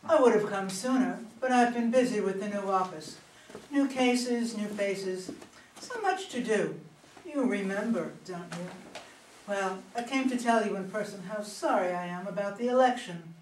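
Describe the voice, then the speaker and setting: A woman playing a confident, smooth-talking young attorney general in an amateur play reading, poised and self-assured. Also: mid-range voice, measured pace, darkish tone, natural voice. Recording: distant, echoey room, faint noise.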